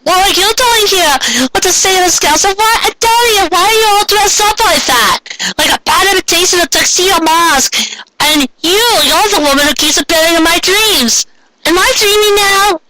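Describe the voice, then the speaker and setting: A man's voice: very high-pitched, moderate pace, voice pushed up, poor audio. A man voicing a confused, anxious teenage girl in an exaggerated, questioning manner for a fan fiction reading. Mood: bewildered.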